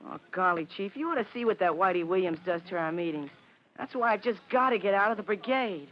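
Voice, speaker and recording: Sulky teenage boy, high-pitched and exasperated, 1950s radio serial, muffled noisy recording. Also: animated, fast talker. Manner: indignant, grumbling. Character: sulky, exasperated fourteen-year-old boy. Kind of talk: 1950s radio drama acting.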